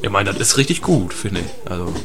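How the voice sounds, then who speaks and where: A man's voice: low-pitched, big pitch swings, a little fast, heavy background noise. A man playing a naive, chatty tourist in an improvised absurd audio comedy, hesitant and repetitive, often tacking on tag questions. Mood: placid, chatty. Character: chatty, naive male tourist, placidly captured.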